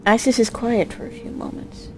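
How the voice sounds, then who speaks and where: A woman's voice: fairly high pitch, fairly even intonation, moderate pace. Emotive woman narrating a dramatic story over music.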